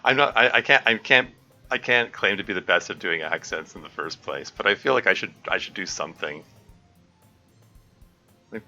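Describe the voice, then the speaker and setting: A man's voice: on the low side, normal speed, pauses often, sharp tone. A man reading a visual novel aloud on a live stream, often stopping to correct himself and laugh. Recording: dull lo-fi stream audio, faint music.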